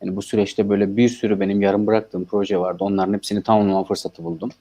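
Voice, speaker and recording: A male filmmaker speaking as an interview guest, calm and reflective, with filler sounds between his thoughts. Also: lowish voice, quick pace. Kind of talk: interview answers.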